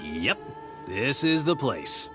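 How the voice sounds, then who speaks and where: An actor playing a sly, mocking, falsely friendly tavern schemer with a theatrical, taunting manner in a video game. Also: male voice, medium pitch, very animated, slow, over music. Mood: smooth, slyly friendly. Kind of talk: anime-style video game voice acting.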